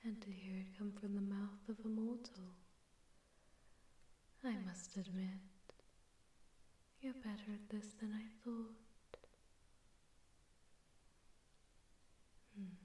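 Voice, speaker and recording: A woman voicing a haughty, seductive sleep paralysis demon in a breathy, teasing whisper with an echoing distortion effect, for an ASMR audio roleplay. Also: medium pitch, dark tone. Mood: indulgent, teasing. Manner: teasing, slow, flirtatious, condescending.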